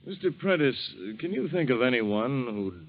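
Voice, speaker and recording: An actor playing a brisk, insistent police captain, blunt and pressing, in a 1940s radio drama. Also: male voice, medium pitch, very animated. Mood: probing, insistent. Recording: muffled, dry old radio recording.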